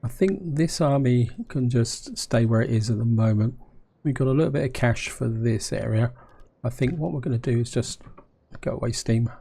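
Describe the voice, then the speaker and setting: A man with a croaky, gravelly voice from a cold talks himself through a strategy game. His tone is mellow and low-key, and his husky rasp runs under every line as he mulls each choice aloud and now and then turns to the viewers. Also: moderate pace. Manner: musing, self-questioning, talks to viewers. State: hoarse from a cold. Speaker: gameplay commentator.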